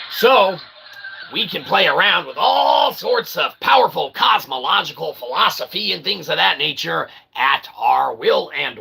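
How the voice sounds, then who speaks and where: A man hosting a rambling livestream, speaking in a wordy, mock-grandiose, self-deprecating way with a gravelly voice. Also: a little high. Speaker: livestream host. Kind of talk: rambling livestream monologue to chat. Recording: noticeable background noise.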